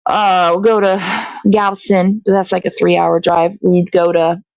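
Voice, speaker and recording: A woman's voice: lowish voice, level tone, measured pace, a little mellow, dry recording, low-quality recording. A woman hosting a morning show in casual chat, talking in a blunt, sweary, rambling way.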